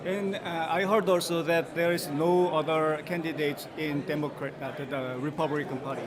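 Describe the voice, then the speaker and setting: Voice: medium pitch, moderate pace. An interviewer with a Korean accent asking short, polite questions outdoors at an event. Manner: polite, brief questions with steady backchannel murmurs. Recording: busy crowd behind. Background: crowd.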